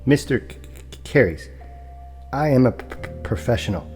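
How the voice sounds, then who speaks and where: An actor playing an unflappable, formal property broker in an audio drama, polite and precise, with a stutter on hard consonants. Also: male voice, mid-range voice, lively intonation, normal speed, darkish tone, faint music behind, lightly acted. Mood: quietly firm.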